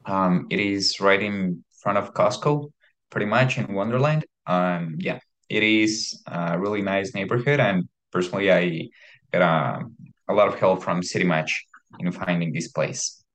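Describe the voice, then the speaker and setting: A man's voice: low voice, measured pace, fairly bright tone. A man in his thirties from Ecuador with an Ecuadorian Spanish accent, talking informally as a panelist. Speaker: panelist, MBA student.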